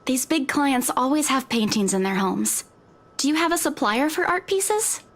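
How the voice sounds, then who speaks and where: An actress playing a chatty, eager young estate agent in a horror visual novel, youthful and informal in manner. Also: female voice, high voice, expressive, moderate pace. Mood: curious, admiring.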